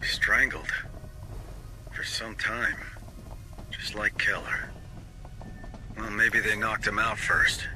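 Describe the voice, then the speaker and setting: An actor playing a steady, matter-of-fact male marine sergeant giving his squad orders in a video game. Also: lowish voice, slow pace, pauses often, soft background music, heavily acted, poor audio. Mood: dry. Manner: commanding, dry and matter-of-fact. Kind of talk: video game cutscene dialogue.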